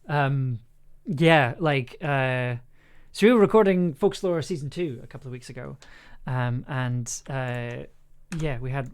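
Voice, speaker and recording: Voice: mid-range voice, clean tone. An enthusiastic audio producer chatting casually and effusively on a livestream while editing. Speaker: audio drama producer and streamer.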